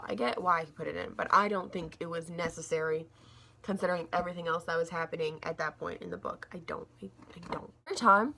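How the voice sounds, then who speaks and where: A young woman reviewing a book in a casual, rambling vlog, her throat a little scratchy and gravelly, with lots of fillers and restarts. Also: fairly low pitch, bright, forceful tone. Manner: rambling, says like a lot, restarts often.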